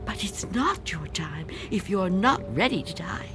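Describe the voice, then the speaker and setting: A woman's voice: on the low side, expressive, a little slow, over music. An actress playing a frightened, reclusive old woman afraid of death in a radio drama, tremulous and pleading. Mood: frail, yearning.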